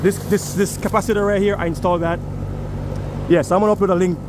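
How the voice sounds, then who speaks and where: A man's voice: high-pitched, little pitch movement, quick pace, noisy background. A man with a New York accent, an HVAC technician, walks viewers through a service call in a casual, step-by-step way while filming outside a house. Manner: casual, explains step by step and addresses viewers directly. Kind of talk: on-the-job video walkthrough. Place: outdoors beside a house at an air conditioner unit.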